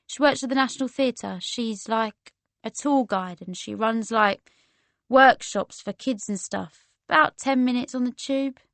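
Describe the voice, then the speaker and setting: A breezy young woman with a London accent, acted out by a female audiobook narrator. She is friendly, laid-back and slangy, with a raised, fairly high pitch and a dry, close sound. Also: a little slow, fairly bright tone, no echo. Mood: breezy, helpful. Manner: breezy, informal. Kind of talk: casual slangy character dialogue in an audiobook.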